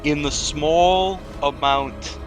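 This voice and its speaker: A man's voice: a little high, steady tone, very slow. Man narrating as game master, music behind. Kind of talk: narrating a tabletop roleplaying game.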